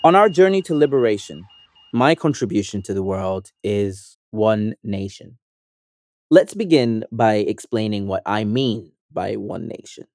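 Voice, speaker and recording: A young adult man from Sudan, an organizer and activist, reading a scripted first-person essay in an earnest, measured, persuasive way. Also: lowish voice, lively intonation, a little mellow, no room sound, clean studio sound.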